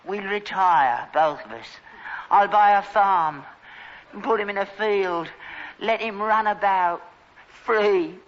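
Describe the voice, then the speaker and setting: A man's voice: sits high, slow pace. An actor playing a grumbling, stubborn elderly working-class father with a London Cockney accent in a vintage British sitcom. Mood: wistful, defiant. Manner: querulous, nagging. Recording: dull, lightly noisy vintage broadcast recording.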